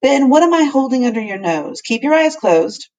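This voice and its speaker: A woman's voice: high voice, big pitch swings, moderate pace, a little mellow, voice pushed up. A woman voicing an enthusiastic, kindly older male professor who explains and exclaims in old-fashioned phrases. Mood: instructing.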